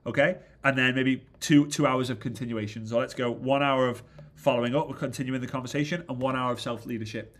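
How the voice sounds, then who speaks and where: A congested man giving an energetic motivational business training talk, often checking in with "right?". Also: medium pitch, a little fast. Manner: energetic, keeps asking "right?". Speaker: business coach.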